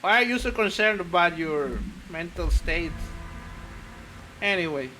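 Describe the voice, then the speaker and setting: Bright-voiced, rambling gaming streamer man. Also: high-pitched, lively intonation, measured pace, a little hiss or hum, poor audio. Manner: rambling, profane, tangent-prone. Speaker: streamer, VTuber. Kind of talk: casual gaming commentary.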